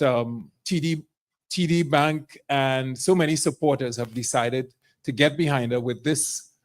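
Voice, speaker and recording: A man and career diplomat giving a keynote speech at a charity evening, speaking in a halting way with repeated words. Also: medium pitch. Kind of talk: speech at an event.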